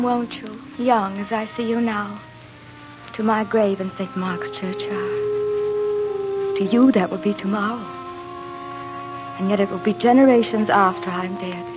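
Actress as tender young sweetheart, fairly high voice. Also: female voice, lightly acted, rough recording. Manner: earnest, romantic delivery. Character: devoted young woman in love, tender, wistful, resigned. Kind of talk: 1940s radio drama acting. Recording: vintage 1940s radio drama with music.

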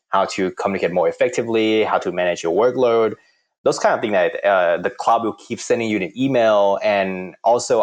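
A young man with a Thai accent, a native Thai speaker, answering interview questions in a halting way with many fillers and restarts. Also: lowish voice. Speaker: interviewee, corporate employee.

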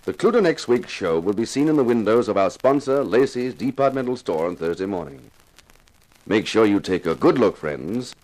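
An actor playing a wry, world-weary television star of a detective show, in 1950s radio drama style, dramatic and wisecracking. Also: male voice, lowish voice, animated, normal speed, darkish tone, a little hiss or hum, natural voice, low-quality recording. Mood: grave, inviting. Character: wry, world-weary male TV actor who plays a hard-boiled inspector. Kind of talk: 1950s radio detective drama acting with first-person narration.

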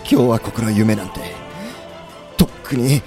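An actor playing a defeated, weary middle-aged commander who speaks quietly and with resignation. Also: male voice, on the low side, a little slow, over music, natural voice. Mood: weary, resigned. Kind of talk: audio drama acting.

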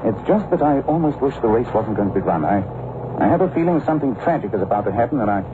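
An actor playing a suave, earnest gentleman adventurer in an old radio drama, measured and probing, on a noisy vintage recording. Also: mid-range voice, sing-song, phone-quality sound, natural voice. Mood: troubled, polite.

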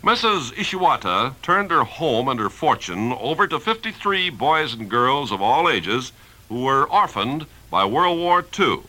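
Vintage radio announcer: man, medium pitch, animated scripted read with a sharp edge. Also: unhurried, rough recording. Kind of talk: scripted 1950s radio narration.

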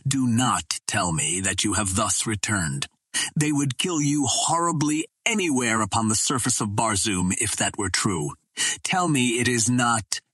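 A man's voice: medium pitch, animated, a little slow, fairly bright tone, slightly rough, dry recording. A man voicing a proud young Martian princess in an audiobook, with formal, old-fashioned, imploring speech. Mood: pleading. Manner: formal, imploring, archaic phrasing. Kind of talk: audiobook character reading.

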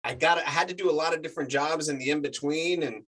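Male guest, 40s, native Spanish speaker, lively storyteller, slightly higher voice. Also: quick pace, rough recording. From United States. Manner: animated storyteller, many fillers. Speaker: actor guest. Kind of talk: casual chat.

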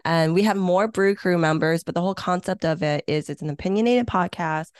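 A woman's voice: lowish voice, fairly quick, a little mellow, smooth, no room sound, clean studio sound. A woman from Hong Kong, an event planner, talking in an upbeat, reflective way with frequent filler phrases as a podcast guest. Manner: upbeat, reflective, many "you know" fillers. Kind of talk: casual interview talk.

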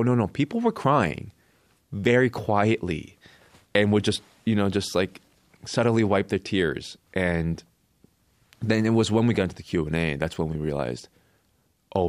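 Low-voiced Canadian man telling stories, dry sound. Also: darkish tone, no echo. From born in Korea, raised in Canada. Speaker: interview guest, filmmaker. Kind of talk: casual personal storytelling in an interview.

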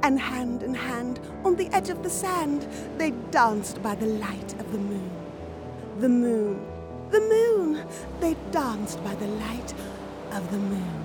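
A woman presenting and reading in a polished, expressive scripted narration style. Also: a little high, slow, loud music behind the voice. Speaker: presenter and reader.

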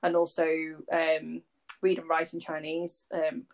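A woman's voice: low-pitched, monotone, measured pace, a loud crowd. A woman, chatting as a podcast guest in a rambling, conversational way full of fillers. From Warrington, England. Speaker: podcast guest, agency founder. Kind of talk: casual conversational interview.

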